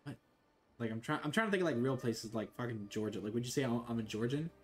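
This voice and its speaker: A young man from Florida streaming a video game from his bedroom, joking, swearing and reacting loudly. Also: medium pitch, fast. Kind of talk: casual game-stream commentary. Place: small bedroom indoors. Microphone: desk mic, wearing a headset.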